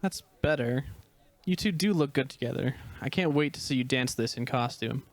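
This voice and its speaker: A man's voice: medium pitch, moderate pace, dry recording, lightly acted. A man playing a poised, exacting stage director who coaches dancers, in a dramatic reading of a screenplay. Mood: coaching, deliberate.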